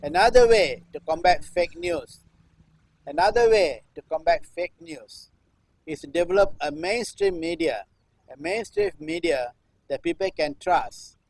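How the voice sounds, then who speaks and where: Guy in his 60s reciting like a performance piece, kinda high voice, dry sound, keeps repeating lines. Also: big pitch swings, gravelly, no echo, poor audio. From Singapore. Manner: repetitive, chant-like refrains with deliberate phrasing. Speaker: performance artist. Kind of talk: live performance recitation of a political text.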